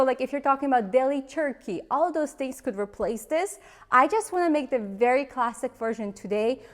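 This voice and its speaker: A woman in her thirties from Ukraine with a Ukrainian accent, chattily hosting a cooking demonstration and laughing at her own slips. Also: a little high. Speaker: cooking show host.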